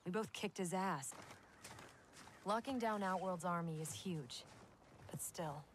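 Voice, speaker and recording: A tough, lowish-voiced young soldier. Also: female voice, slow pace, slight background noise. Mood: determined. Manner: blunt, direct. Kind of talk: video game cutscene acting.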